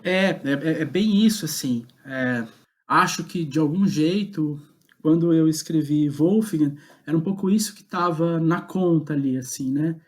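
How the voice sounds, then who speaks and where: A man's voice: fairly high pitch, measured pace. A man with a Brazilian accent speaking as a guest playwright in a reflective interview, thinking aloud and often rephrasing himself. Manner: hesitant, thoughtful, often reformulating.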